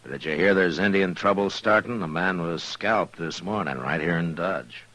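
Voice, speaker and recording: An American actor playing a stern, principled Old West marshal in a terse, deliberate radio western style. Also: male voice, low voice, fairly bright tone. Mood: stern, accusing. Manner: terse, deliberate, plain-spoken. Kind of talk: 1950s radio western acting. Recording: vintage 1950s radio recording.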